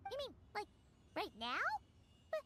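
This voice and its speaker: Squeaky, very high-pitched little fairy girl. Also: big pitch swings, unhurried, background noise, exaggerated voice. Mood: curious, concerned. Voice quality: squeaky, childlike. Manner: refers to herself in the third person, eager questioning. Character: tiny excitable childlike floating fairy companion girl. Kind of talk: video game voice acting. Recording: music behind the voice.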